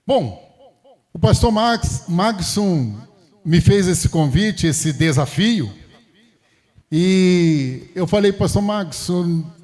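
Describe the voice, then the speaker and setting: A man's voice: high voice, animated, very slow, darkish tone, in a large hall. A middle-aged Brazilian man from Rio de Janeiro preaching as a guest pastor in a conversational way, often adding short tags that seek agreement. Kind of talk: church sermon with personal greetings.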